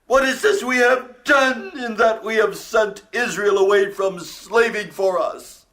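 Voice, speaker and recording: A man's voice: high-pitched, steady tone, a little slow, bright, forceful tone. An anguished Egyptian king. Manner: anguished, wailing. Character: distraught male Egyptian king. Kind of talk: dramatized audio drama.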